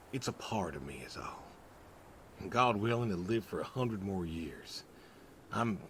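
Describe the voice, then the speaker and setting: An actor playing a lonely, aging pig farmer, gentle and resigned, speaking hesitantly and wistfully in a naturalistic game performance. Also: male voice, low voice, slight background noise, heavily acted. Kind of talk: naturalistic narrative game voice acting.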